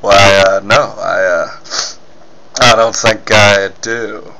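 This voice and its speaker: A man voicing a mild, dazed amnesiac ballplayer with a halting, uncertain manner, as in a 1940s radio serial. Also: on the low side, fairly even intonation, vocal fry, pushed and bright, voice pushed down, heavily acted. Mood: confused.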